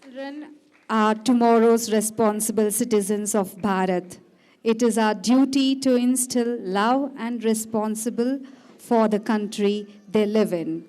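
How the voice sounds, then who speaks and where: An earnest woman announcer speaks. She reads formal lines at a deliberate, unhurried pace. Her voice sits slightly high. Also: a little mellow. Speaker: school event announcer.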